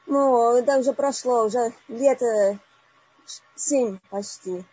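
A woman in her forties calling in to a live online session, telling a personal story haltingly with many broken-off words. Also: on the high side, warm tone, breathy edge, no echo, muffled recording. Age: 40s. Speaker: caller.

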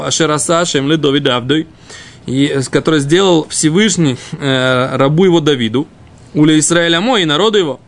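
A man with a fairly high voice and heavy creak leads an informal Talmud class. He reads out phrases and explains them, often repeating himself as he searches for the next words. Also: fairly bright tone. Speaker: Talmud teacher. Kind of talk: informal Talmud lesson, reading and explaining text.

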